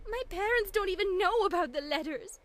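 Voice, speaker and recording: A woman's voice: extremely high pitch, lively intonation, brisk, fairly bright tone. A woman voicing a fragile, despairing young pony dressmaker, melodramatic and pleading, in a dramatic fan fiction reading.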